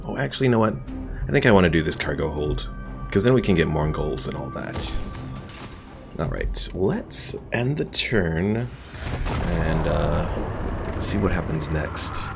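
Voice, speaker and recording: Gaming streamer, male, deep and gravelly, casual let's-play narration with a relaxed chat to viewers. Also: measured pace, pauses often. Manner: relaxed, thinking aloud, chatting to viewers. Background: game music.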